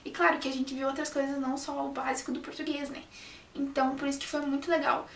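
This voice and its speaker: A woman's voice: high-pitched, flat delivery, fast talker, vocal fry, slight background noise. A young woman, a journalism student, chatting casually to camera and often restarting her sentences. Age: young adult. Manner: chatty, restarts often, says "sabe?" a lot. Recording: roomy echo, mic a bit far off.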